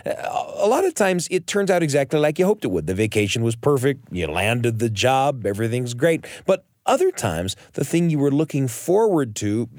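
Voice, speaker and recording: A man's voice: mid-range voice, big pitch swings, moderate pace, few pauses, a little mellow, dry recording, studio quality. A man hosting a radio show with scripted storytelling narration and dramatic emphasis.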